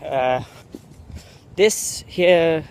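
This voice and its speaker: A young American man puts on a jokey fake British accent as he wanders around a school campus outdoors. He rambles loosely, filling gaps with fillers and correcting himself, and his voice sits a touch high for a man. Light outdoor sound and faint passing traffic hum behind him on a phone recording. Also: very slow, gravelly. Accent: American speaker putting on a mock British accent. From United States. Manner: jokey and meandering, full of fillers and self-corrections. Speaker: vlogger host. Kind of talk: rambling walk-and-talk vlog.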